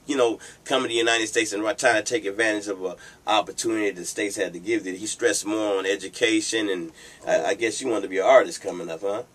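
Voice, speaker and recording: A man hosting an interview, talking in an encouraging, conversational way and often saying "you know". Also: lowish voice. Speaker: host. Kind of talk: interview host chat.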